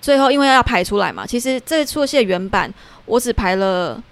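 A woman's voice: fairly high pitch, expressive, brisk. A woman with a Taiwanese Mandarin accent talking reflectively as a guest in a casual podcast conversation. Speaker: guest, theatre playwright and performer.

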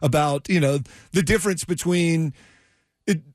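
Higher-pitched man hosting sports radio. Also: normal speed, no echo, studio quality. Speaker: sports radio show host. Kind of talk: casual sports talk radio interview. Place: radio studio.

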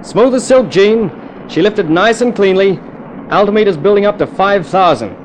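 Cool, wry 1950s radio test pilot. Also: male voice, high-pitched, expressive, normal speed, a little hiss or hum, poor audio. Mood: brisk, confident. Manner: dramatic, clipped, wry storytelling. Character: cool, wry male jet test pilot narrating his adventure. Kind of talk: 1950s radio thriller narration and acting. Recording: old radio drama with music underneath.

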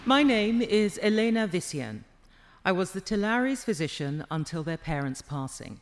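A man's voice: high-pitched, big pitch swings, moderate pace. A man playing a formal, guarded physician in a fantasy audio drama, careful and deferential. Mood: solemn. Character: formal, guarded family physician testifying in court.

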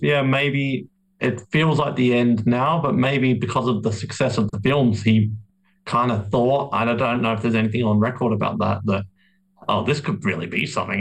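A man's voice: medium pitch, steady tone, normal speed, gravelly, low-quality recording. A man co-hosting a podcast in a casual, conversational discussion. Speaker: podcast co-host.

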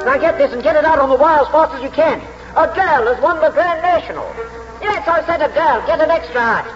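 A man's voice: extremely high pitch, a little fast. A man playing a brash, urgent racecourse man calling out in a 1940s radio drama style. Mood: excited. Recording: dull old radio, music and crowd.

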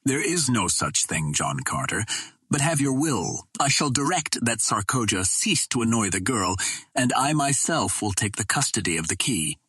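A man's voice: medium pitch, sing-song, moderate pace, sharp tone, breathy edge, dry recording, full character voice. A man voicing a stern, proud alien warrior chieftain with formal, terse, commanding speech in an audiobook. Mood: gruff, conceding.

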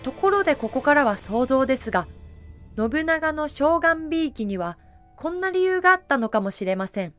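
High-pitched woman narrating history. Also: expressive, moderate pace, faint music behind. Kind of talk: scripted dramatic historical narration.

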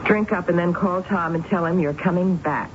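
An actress playing a cold, reclusive spinster who narrates, in a 1970s radio drama. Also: female voice, lowish voice, moderate pace, natural voice. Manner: fussy, guarded, clipped. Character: prim reclusive spinster woman, fussy and cold. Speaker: narrator and lead character. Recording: muffled old radio broadcast with hiss.

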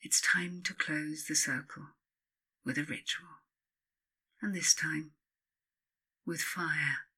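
A woman narrating a spiritual self-help book as its author, in a solemn, deliberate, incantatory way. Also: low-pitched, fairly even intonation, sharp tone, slightly rough. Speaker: author and podcast host reading their own book.

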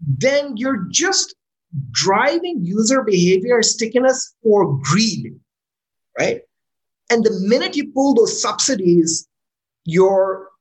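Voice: mid-range voice, poor audio. A startup founder from Pakistan, answering interview questions casually and often checking in with "right?". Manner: frequent "right?" tag questions, restarts words when excited.

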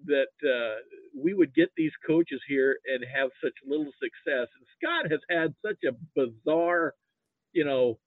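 A man from Nebraska with an American accent, chatting casually as a sports fan guest in a halting, rambling, folksy way. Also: a little high, no echo. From Nebraska, United States. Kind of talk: casual chat. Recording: thin phone-like narrow-band line.

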